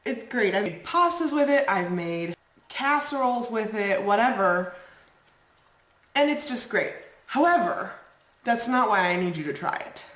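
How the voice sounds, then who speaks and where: A woman's voice: medium pitch, animated, measured pace, a bit bright. Chatty congested woman vlogging at home. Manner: bubbly, rambling, lots of "like". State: congested, nasal from a cold. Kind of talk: casual chatty vlog. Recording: echoey room, faint ambient noise.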